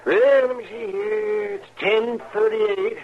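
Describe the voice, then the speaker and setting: An actor playing a crusty, opinionated frontier town doctor, folksy and halting, in 1950s radio western style. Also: male voice, sits high, sing-song, gravelly, full character voice. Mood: musing. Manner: folksy, halting then hurried delivery. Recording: muffled old radio recording, background murmur.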